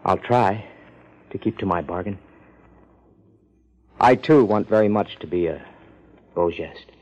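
A man acting a subdued, earnest young male heir in a 1950s radio drama, speaking formally and with measured care. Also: deep voice, a little mellow. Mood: solemn, earnest. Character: earnest, subdued twenty-one-year-old male millionaire heir. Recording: muffled 1950s radio recording.

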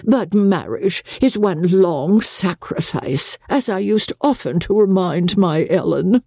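A woman's voice: on the low side, expressive, takes it slowly, mellow, slightly rough, no room sound, studio quality, pitched above the performer's own voice, exaggerated voice. A woman voicing an effusive, languishing society marchioness in a novel reading. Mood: languishing, sighing. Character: gushing, affected society marchioness, festooned and theatrical.

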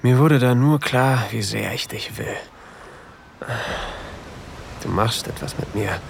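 Male lover role for intimate ASMR drama: unhurried, creaky murmur sliding into whispers, possessive, faint sea ambience behind. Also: medium pitch, a little hiss or hum. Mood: tender, seductive. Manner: breathy murmuring, often dropping to a whisper. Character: possessive, seductive new lover, adult man, on a sailboat. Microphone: close microphone.

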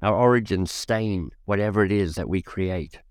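Middle-aged man, podcast host, low voice, dry studio sound, measured narration. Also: clean tone, no echo. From Australia.

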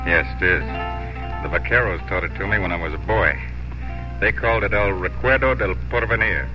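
An actor playing an earnest, proud young immigrant cattle drover in 1950s radio drama acting and narration. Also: male voice, sits low, a little fast, close to an everyday voice. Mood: tender, wistful. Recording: muffled old radio broadcast, music.

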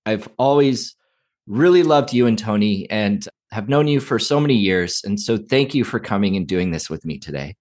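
A middle-aged man hosting a podcast, talking in a gentle, unhurried, friendly way. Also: lowish voice, animated. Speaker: podcast host. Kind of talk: interview hosting.

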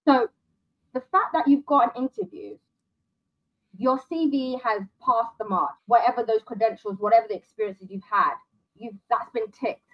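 A woman as an interview guest, briskly listing options and giving direct career advice. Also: a little high, dull audio.

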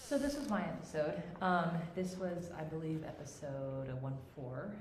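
A woman speaking as a writer in an unscripted panel discussion, halting and often restarting as she searches for words. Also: sits low, expressive, a little mellow. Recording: echoey room, faint background noise.

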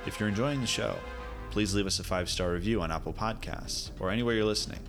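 A man's voice: on the low side, fast talker. A man working as an announcer, reading scripted production credits for an audio fiction podcast. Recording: music bed behind voice.

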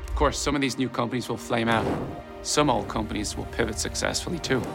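A man delivering scripted promotional narration in deliberate, declarative sentences. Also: medium pitch, vocal fry. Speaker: company leader. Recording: music bed under voice.

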